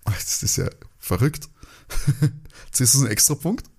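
A man chatting casually as a podcast co-host, joking and rambling as he thinks out loud. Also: medium pitch, animated, measured pace, breathy edge. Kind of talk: casual chat, guessing along with an audio drama.

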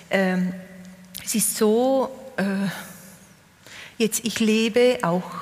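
A woman from South Tyrol, Ladin her mother tongue, speaks very slowly and thoughtfully, often pausing and restarting as she looks for the right word. Her voice sits at a middle pitch. A long hall reverb trails behind it. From South Tyrol, Italy. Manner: hesitant, searching for words with many pauses and fillers. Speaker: poet, interview guest. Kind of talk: reflective interview answers.

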